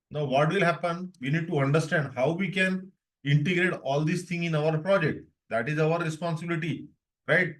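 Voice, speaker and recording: A young man with an Indian English accent teaching a live online tech lesson, repeating himself and often checking that students follow. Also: fairly high pitch. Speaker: DevOps instructor.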